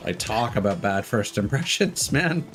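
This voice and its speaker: A man's voice: fairly low pitch, very animated, moderate pace, a bit bright. A man giving casual live gameplay commentary as a streamer, thinking aloud in loose, candid asides. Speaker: game streamer. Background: game music.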